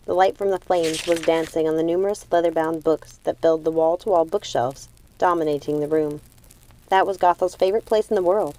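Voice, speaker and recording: A woman's voice: low voice, steady tone, brisk, dark tone, poor audio. A woman reading a novel aloud as scripted storybook narration. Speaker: audiobook reader.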